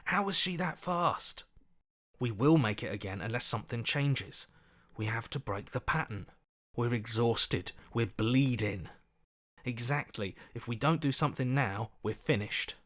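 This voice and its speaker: A man's voice: medium pitch, expressive, a little slow, a bit bright. A man playing an earnest, anxious hero for a video game, speaking in a plain, urgent, questioning way. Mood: strained, alarmed. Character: earnest, anxious heroic inner voice, adult man. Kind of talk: video game character acting.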